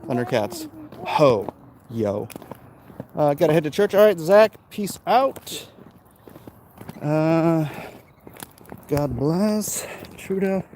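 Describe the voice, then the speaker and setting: A man in his forties with a fairly high, very animated voice hosts a casual livestream on foot. He rambles and breaks off often, talking into a handheld phone outdoors with faint street noise behind him. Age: 40s. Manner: rambling, halting, breaking off to talk to people with him. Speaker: livestream host, artist. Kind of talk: casual livestream chat while walking outdoors, reading viewer comments aloud. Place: outdoors, walking along a street.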